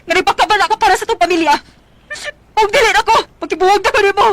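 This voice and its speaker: A woman's voice: very high-pitched, racing, very noisy. A woman playing a poor, overburdened mother fed up with her irresponsible husband, acting in a Filipino radio drama with a Cebuano accent. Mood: angry, tearful.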